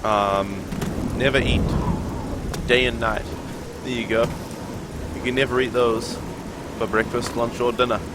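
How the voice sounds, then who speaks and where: A man's voice: mid-range voice, level tone, unhurried, very noisy. A young man from New Zealand with a New Zealand accent, chatting with his viewers while he plays a game. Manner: chatty, reads chat aloud and answers it. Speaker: streamer. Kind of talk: live game stream commentary.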